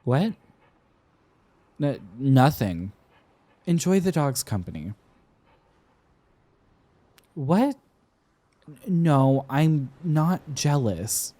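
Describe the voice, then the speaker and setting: A man's voice: on the high side, sing-song, drawn-out words, pauses often, dry recording, exaggerated voice. A young man playing a tender, flustered college-age boyfriend in an intimate romantic roleplay, with hesitant, stammering delivery. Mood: flustered, teasing. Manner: hesitant, stammering, soft-hearted.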